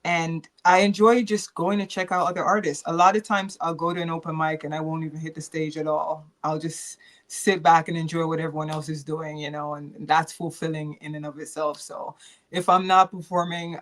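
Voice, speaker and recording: A woman's voice: sits low, flat delivery, normal speed. A woman from New York and Jamaica speaking as an interview guest, earnest and reflective, often repeating phrases as she thinks aloud. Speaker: interview guest, performing artist.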